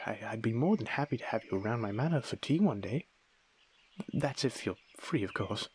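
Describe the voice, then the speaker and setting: A man playing a lonely, young-looking aristocratic vampire in an intimate audio roleplay, guarded yet tender, with formal old-fashioned wording and a soft breathy delivery. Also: medium pitch, a little hiss or hum, lightly acted. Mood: shy, grateful. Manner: formal, old-fashioned wording, hesitant stammers when moved. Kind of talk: ASMR audio roleplay, intimate dramatic monologue.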